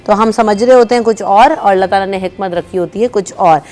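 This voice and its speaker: A woman's voice: mid-range voice, a little fast. A woman hosting a TV morning show, telling a story animatedly and chatting casually with guests. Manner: animated storyteller, acts out shouting characters. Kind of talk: storytelling and casual studio chat. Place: TV studio.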